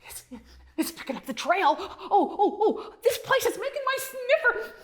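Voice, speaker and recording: Woman playing an eager, excitable storybook dog. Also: very high-pitched, moderate pace, pitched above the performer's own voice. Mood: thrilled. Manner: howling, exclaiming, stammering with eagerness. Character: eager, excitable male search and rescue dog, good-hearted. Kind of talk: children's storybook character reading.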